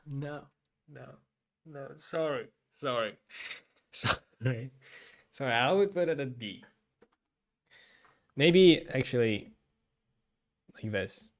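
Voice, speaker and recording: A man's voice: medium pitch, expressive, very slow, pauses often. A man giving casual livestream commentary in a hesitant way, often repeating himself. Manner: hesitant, repeats himself, frequent throat clearing.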